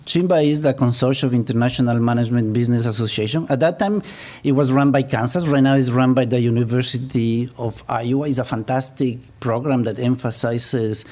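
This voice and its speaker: A man's voice: mid-range voice, steady tone, a little fast, warm tone. A middle-aged man from Ecuador with an Ecuadorian Spanish accent, Spanish as his first language, giving a public lecture as a business professor with self-deprecating humor. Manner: self-deprecating, chuckles at own jokes.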